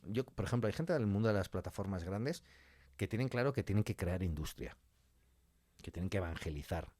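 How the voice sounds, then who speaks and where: Low-voiced Spanish man in conversation, dry sound. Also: expressive, a little fast, darkish tone, dry recording. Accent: Spanish (Spain). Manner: explains with rhetorical questions and imagined quotes. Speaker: interview guest, audio fiction writer. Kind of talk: conversational interview, giving opinions.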